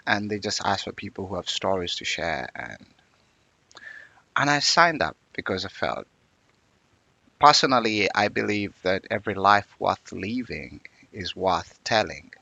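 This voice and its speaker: A man's voice: deep voice, lively intonation, measured pace, vocal fry, bright, forceful tone, no echo, highs cut off. A man, telling his life story as an interview guest in a reflective, earnest way. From Uganda.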